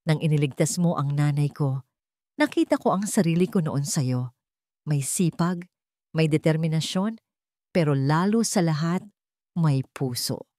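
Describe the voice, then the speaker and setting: A woman's voice: deep voice, animated, a little slow, long pauses, mellow, dry recording, studio quality. A woman voicing a stern, righteous male chairman with a controlled, commanding manner in a drama story. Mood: solemn, grateful. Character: stern, righteous male company chairman, wealthy, grateful son. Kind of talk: dramatic audio storybook acting.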